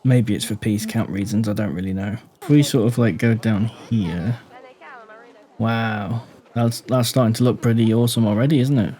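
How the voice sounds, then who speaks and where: A man giving casual commentary on a game build, speaking deliberately low and quiet while he thinks aloud and asks the viewer questions. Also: steady tone. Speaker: gaming YouTuber.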